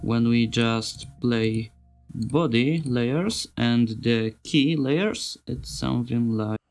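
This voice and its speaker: A man with a non-native English accent giving an informal tutorial as a sound designer, speaking in simple, halting phrases and searching for words. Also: medium pitch, over music. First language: not English. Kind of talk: informal software tutorial narration.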